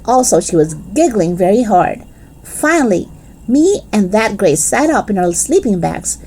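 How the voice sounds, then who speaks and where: A woman reading a children's storybook aloud in expressive scripted narration. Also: mid-range voice, unhurried, husky, poor audio. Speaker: children's book reader.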